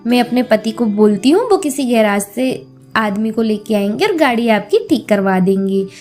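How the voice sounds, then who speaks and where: Casting: woman as poor, contented maid and loving mum, earnest high voice, storybook dialogue with music. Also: expressive, moderate pace, a little mellow, lightly acted. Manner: reassuring, earnest. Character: humble, contented poor housemaid and loving mother.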